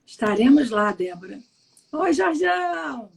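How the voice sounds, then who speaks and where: A woman, hoarse and short of voice, speaking gently as a supportive co-host. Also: high-pitched, sing-song, unhurried. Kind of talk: live religious chat.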